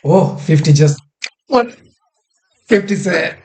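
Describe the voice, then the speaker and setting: A man's voice: a little high, lively intonation, takes it slowly, vocal fry, darkish tone. A man in casual podcast chat, teasing and joking with his co-host. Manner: teasing, jokey.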